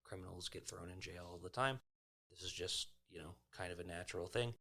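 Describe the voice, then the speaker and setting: A man's voice: deep voice, a little fast, creaky, sharp tone. A man playing a tabletop game, casually describing what his character does.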